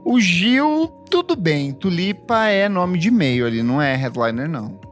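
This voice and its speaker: A man's voice: sits high, big pitch swings, unhurried. A man from Brazil co-hosting a casual music podcast, reading listener comments aloud and teasing. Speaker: podcast co-host. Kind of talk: casual chat.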